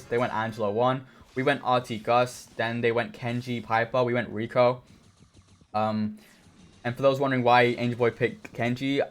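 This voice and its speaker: A man with a hoarse, worn voice casually commenting on his own match, easygoing and rambling with many fillers. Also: medium pitch. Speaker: esports player reviewing his own match.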